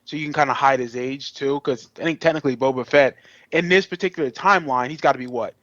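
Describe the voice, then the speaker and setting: Male co-host, mid-pitched voice, enthusiastic slangy fan chat, dry close recording. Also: quick pace, fairly bright tone, no echo, highs cut off. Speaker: podcast co-host. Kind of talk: casual fan podcast chat.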